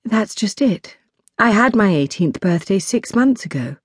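A woman's voice: mid-range voice, expressive, unhurried, breathy edge, dry recording, clean studio sound, voice pushed up. A woman voicing a lonely, dutiful teenage princess in a storybook, explaining things politely and earnestly.

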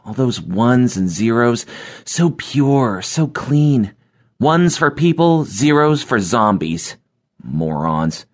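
A young American man playing a sardonic, exasperated programming geek in a first-person audiobook. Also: medium pitch. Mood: disdainful. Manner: snarky, exasperated, emphatic. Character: sardonic young male programming geek who hates zombies.

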